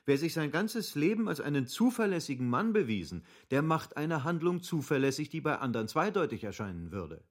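A man's voice: fairly high pitch, very animated, fairly quick, few pauses. An actor playing an impulsive, obstinate nobleman in a German literary radio drama, with formal, emphatic rhetorical phrasing. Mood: defiant. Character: impulsive, obstinate wealthy nobleman pleading with his friend.

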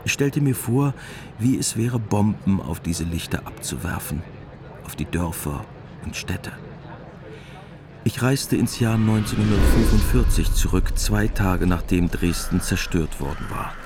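A middle-aged actor playing a weary, wry war veteran, narrating a literary radio drama in a laconic, resigned way. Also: male voice, lowish voice, lively intonation, unhurried, a crowd in the background.

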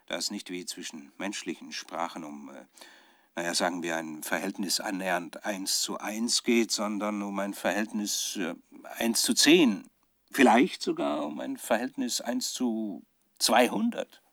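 A low, closely recorded male voice of a scientist in a radio drama. He dictates a private research diary with troubled fervour. His delivery is halting and reflective, searching for each word with dry, intimate sound. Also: creaky, a bit bright, no echo. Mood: sober, reporting.

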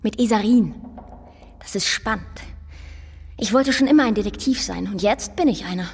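A woman's voice: mid-range voice, moderate pace, husky, in a large hall. A female performer playing a precocious, nosy little girl detective in a German radio crime drama, speaking bluntly and without sentiment. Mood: blunt, secretive. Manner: blunt, matter-of-fact, unsentimental.

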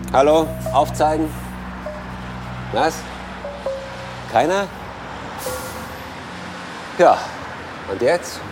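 An actor playing a dutiful, easily exasperated police detective, polite and matter-of-fact in a German audio drama. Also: male voice, on the high side. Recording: music behind the voice.

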